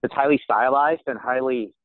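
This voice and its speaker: A man's voice: mid-range voice, moderate pace, no room sound. A man involved in church planting, speaking in an earnest, emphatic way over a call. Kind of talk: casual video call meeting. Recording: tinny phone-like call audio.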